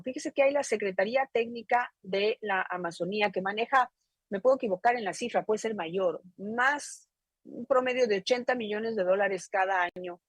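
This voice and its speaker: Husky woman interviewer, dry sound. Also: no echo. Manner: probing and emphatic, rising in pitch when pressing a point. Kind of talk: TV interview questioning.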